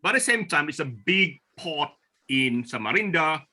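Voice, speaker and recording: A man from Borneo gives a slide talk over a video call, his voice very bright and forceful. He speaks haltingly, doubling back on his words and filling gaps with hesitations, a touch higher than most men. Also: expressive. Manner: halting, with frequent restarts and fillers. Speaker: forum speaker presenting slides. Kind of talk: online presentation talk.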